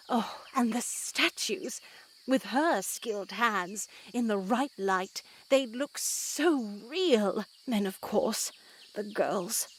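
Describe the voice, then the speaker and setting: A woman playing a pious, motherly yet unsettling nun in a horror game, speaking in a genteel, measured, preachy way. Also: a little high, a little hiss or hum. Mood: reverent, motherly. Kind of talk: scripted visual novel voice acting.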